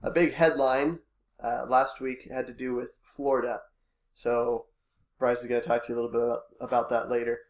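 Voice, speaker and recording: A man hosting a casual podcast chat, congested with a cold and coughing now and then, relaxed and hesitant with many fillers. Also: medium pitch, brisk, echoey room. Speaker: podcast host.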